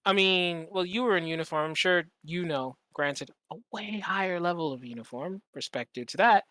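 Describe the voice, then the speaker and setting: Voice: medium pitch, moderate pace. A podcast host telling stories casually and animatedly, with plenty of swearing and slang, often acting out what people said. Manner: animated, profane, slangy, acts out quoted dialogue. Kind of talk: casual storytelling in conversation.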